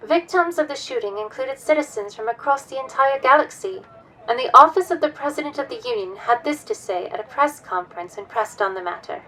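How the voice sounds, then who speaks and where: An actress playing a serious news reporter reading a bulletin with dramatic delivery in an audio drama. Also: female voice, sits high, expressive, brisk, bright, forceful tone, far from the mic, full character voice, poor audio. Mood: grave, urgent.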